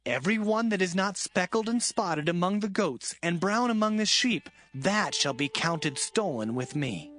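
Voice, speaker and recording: Man acting an animated, resolute biblical herdsman. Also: sits high, moderate pace, few pauses. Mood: earnest, resolute. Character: Jacob, earnest, resolute biblical herdsman and husband. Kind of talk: dramatised audio Bible acting.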